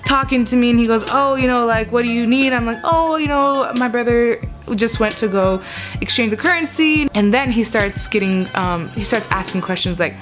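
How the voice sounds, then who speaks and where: A woman's voice: on the high side, normal speed, soft background music. A woman in her twenties from the United States, chatting to a handheld camera as she tells a travel story with lots of fillers. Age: 20s. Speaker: travel vlogger. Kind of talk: casual vlog storytelling to camera. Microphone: handheld camera held close.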